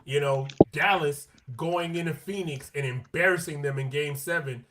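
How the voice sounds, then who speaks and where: A man co-hosting a podcast in casual sports chat, animated and slangy, repeating his points for emphasis. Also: fairly high pitch, normal speed. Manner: animated, quotes players in their own voices. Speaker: podcast co-host.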